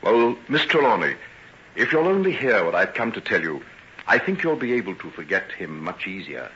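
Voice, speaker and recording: An actor in an old-time radio drama playing a soothing, apologetic gentleman doctor with a polite, formal, hesitant manner. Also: on the low side, big pitch swings, normal speed. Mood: courteous. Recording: dull old radio recording, faint noise.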